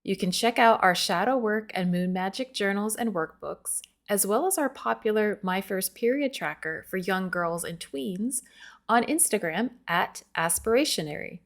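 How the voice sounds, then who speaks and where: An enthusiastic woman hosting a podcast, gushing as she introduces her guest and asks questions. Her voice sits at an ordinary middle pitch. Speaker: podcast host. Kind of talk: scripted intro then casual interview.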